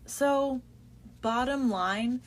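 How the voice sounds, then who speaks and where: A woman co-hosting a faith podcast, speaking in a steady, earnest way as she sums up points and thanks listeners. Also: high voice, drawn-out words, a little hiss or hum. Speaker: podcast co-host. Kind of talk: casual faith chat.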